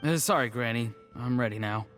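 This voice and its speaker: Guy doing a young game character, middle pitch, earnest grandkid type, bit teasing. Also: a little fast, fairly bright tone, soft background music, lightly acted. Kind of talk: video game cutscene acting.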